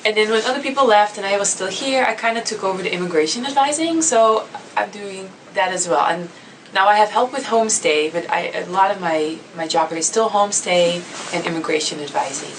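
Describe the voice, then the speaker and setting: A woman's voice: medium pitch, a little fast. A woman, chatting easily with students about her work as an international student coordinator, with plenty of fillers. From Netherlands. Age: 30s. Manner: easygoing and chatty, with many fillers and frequent laughter. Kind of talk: casual self-introduction to students. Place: classroom. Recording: slightly distant mic with faint background noise.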